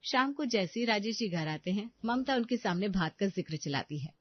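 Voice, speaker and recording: A woman's voice: mid-range voice, lively intonation, normal speed, lo-fi sound. A woman reading scripted narration for a family moral story.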